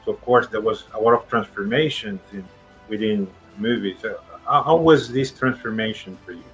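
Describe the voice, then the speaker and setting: A man's voice: on the low side, normal speed, a bit bright, soft background music, low-quality recording. A male podcast host asking questions in a hesitant way, with non-native phrasing. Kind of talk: interview questions.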